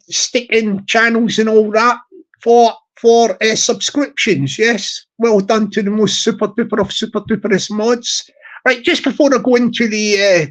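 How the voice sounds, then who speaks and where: A man with a high tenor voice hosting a live stream in slow, rambling casual chat full of fillers and repeated words. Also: fairly bright tone. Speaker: YouTube live stream host.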